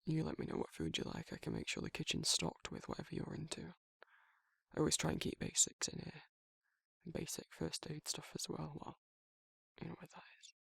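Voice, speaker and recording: A man's voice: on the high side, wildly swooping pitch, a little fast, fairly bright tone, no echo, exaggerated voice. A man playing a protective, awkward werewolf in an intimate ASMR roleplay, speaking breathily and hesitantly, often near a whisper. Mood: sheepish, rambling. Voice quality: husky, breathy, gravelly, often dropping to a whisper. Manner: hesitant, rambling, bashful, informal. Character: protective, awkward, soft-hearted male werewolf confiding in a friend.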